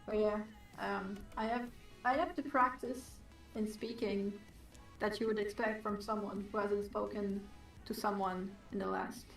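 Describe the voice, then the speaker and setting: A woman artist whose first language is not English, chatting and teasing in a relaxed way on a stream. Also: medium pitch, a little slow, gravelly, echoey room, distant mic, faint music behind, poor audio. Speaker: artist, co-host. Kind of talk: casual chat on a livestream.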